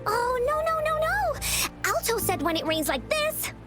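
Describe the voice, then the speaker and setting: A woman's voice: sing-song, measured pace, loud music behind the voice, heavily acted. A woman voicing a cheerful little girl hero in an anime game, childlike and referring to herself in the third person. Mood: worried. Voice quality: high, childlike.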